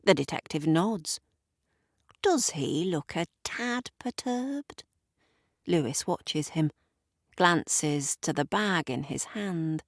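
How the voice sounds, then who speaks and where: A woman narrating a literary audio drama in a deliberate, dramatic storytelling manner. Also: low-pitched, lively intonation, dry recording, clean studio sound.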